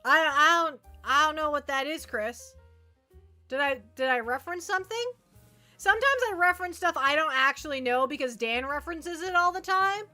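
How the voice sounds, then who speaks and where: A woman's voice: sits high, moderate pace, pushed and bright, soft background music. A woman chatting casually to viewers as a livestream host, rambling playfully and often repeating her phrases.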